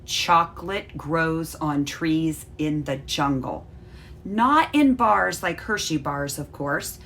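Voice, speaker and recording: A woman voicing an excited, friendly traveling bunny in a children's storybook read-aloud, with expressive delivery. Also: low voice, slow, a little hiss or hum, full character voice. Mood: chatty, amazed.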